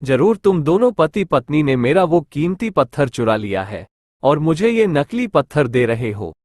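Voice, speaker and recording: Cunning cartoon merchant male actor, sly and greedy, lively melodic delivery, close dry sound. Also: on the high side, normal speed, no room sound. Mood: accusing. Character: greedy, cunning village merchant swindler, a man. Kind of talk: Hindi cartoon story dialogue.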